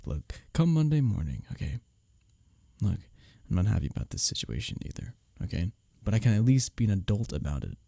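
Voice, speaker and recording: A man playing a smug, taunting office rival in an intimate roleplay audio drama, sardonic and teasing, with a husky low voice. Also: sing-song, a little fast, warm tone, no echo, studio quality, heavily acted. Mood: irritated. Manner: sardonic, teasing, needling, with breathy chuckles.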